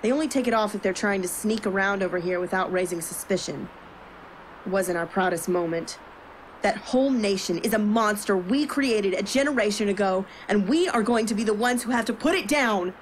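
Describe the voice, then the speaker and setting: A woman's voice: mid-range voice, brisk, a little hiss or hum, close to an everyday voice, poor audio. A woman playing an earnest, burdened young royal in an audio drama. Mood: grave storytelling.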